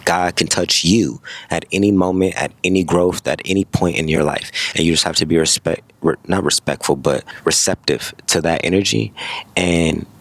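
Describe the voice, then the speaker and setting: A young man in his twenties with an extremely deep voice and a gravelly, creaky edge. He talks quickly and rambles through a motivational monologue, stopping often to correct himself. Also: no echo. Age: 20s. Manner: fast, rambling, self-correcting. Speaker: vlogger.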